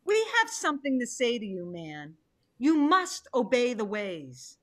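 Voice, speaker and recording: A woman's voice: on the high side, wildly swooping pitch, a little slow, fairly bright tone, heavily acted. A woman playing a stern, demanding animal in a dramatic play reading.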